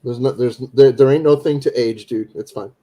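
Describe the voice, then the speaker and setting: A man in his forties, easygoing and quick to laugh, chatting in casual banter on a live stream. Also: medium pitch, dark tone. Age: 40s. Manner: laughs often, easygoing, says dude. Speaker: panel regular.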